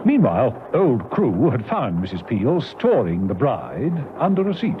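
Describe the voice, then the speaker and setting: A man's voice: mid-range voice, wildly swooping pitch, unhurried. A man playing the storyteller of a spy radio serial, narrating in a scripted, dramatic style. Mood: suspenseful action. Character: brisk, animated male storyteller of a spy serial. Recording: noisy muffled vintage radio recording.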